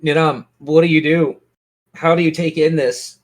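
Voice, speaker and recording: A man's voice: on the high side, steady tone, moderate pace. A man acting as game master, narrating and refereeing a tabletop fantasy game in colloquial language and speaking directly to his players.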